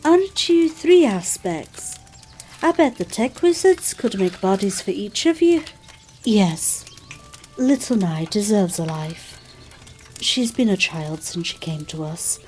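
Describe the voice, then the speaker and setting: A woman playing a gentle, modest, hopeful character in an audio drama, speaking thoughtfully and with some hesitation. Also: medium pitch, animated, slow pace, a little hiss or hum. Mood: tentative, hopeful. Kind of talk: scripted audio drama dialogue.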